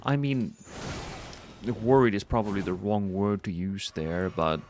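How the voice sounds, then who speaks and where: A man from Norway with a Norwegian accent, streaming a game live and joking with his chat while reacting loudly to the action. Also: fairly low pitch, animated, unhurried, a little hiss or hum. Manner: playful, joking banter with chat.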